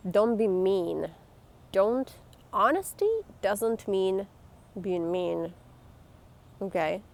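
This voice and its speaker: Blunt woman with a gravelly voice. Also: medium pitch, expressive, very slow, darkish tone. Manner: blunt, emphatic and swearing. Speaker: vlogger. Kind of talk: candid talk to camera.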